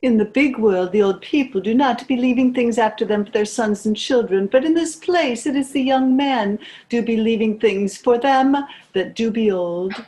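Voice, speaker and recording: A woman's voice: on the high side, normal speed, hardly any pauses, dark tone, natural voice. A woman playing a fretful, grieving old peasant mother in a stage play, plaintive. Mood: weary, bitter. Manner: lamenting, plaintive. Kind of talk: stage drama read as virtual play.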